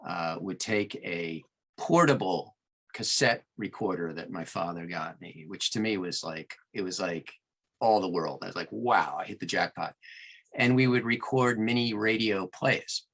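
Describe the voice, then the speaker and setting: A man's voice: low voice, measured pace, creaky, fairly bright tone. A middle-aged man from Long Island, an audiobook producer telling stories conversationally as a podcast guest. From Long Island, New York, United States. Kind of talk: conversational storytelling in an interview.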